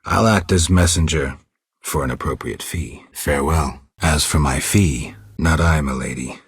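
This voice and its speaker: A man voicing a stoic, world-weary monster hunter in a video game, terse and deadpan, with a gravelly, husky low voice. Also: unhurried, dry recording, studio quality, full character voice. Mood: courteous, dry. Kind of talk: video game dialogue lines.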